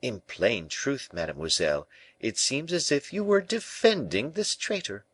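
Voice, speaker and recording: A man's voice: medium pitch, wildly swooping pitch, unhurried, a bit bright, breathy edge, no room sound, voice pushed up, heavily acted. A man voicing a proud, hot-tempered young king in an audiobook, imperious and theatrical. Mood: haughty displeasure.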